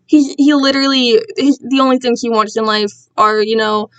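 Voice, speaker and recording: A woman's voice: fairly high pitch, steady tone, normal speed, a bit bright, clear, resonant tone, dull audio. A young woman livestreamer chatting casually, digressive and slangy, with self-deprecating asides. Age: young adult. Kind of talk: casual rambling chat to livestream viewers. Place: alone in own room.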